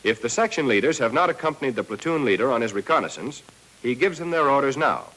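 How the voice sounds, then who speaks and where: A man's voice: mid-range voice, sing-song, fast, fairly bright tone, poor audio. 1940s American military training film narrator, male. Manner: instructional, addressing trainees directly.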